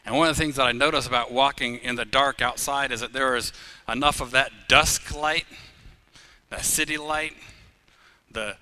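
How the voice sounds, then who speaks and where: A middle-aged pastor who grew up in Uganda preaches a live sermon in a very bright, forward voice. His pitch sits mid-range, and he talks conversationally, telling homely stories with easy humor. He swells louder and higher on key phrases. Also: fairly even intonation, moderate pace, smooth.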